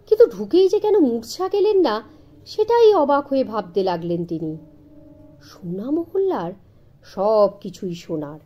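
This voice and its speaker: Woman, audiobook narrator, children's story, high voice, lively intonation, expressive, slightly lo-fi sound.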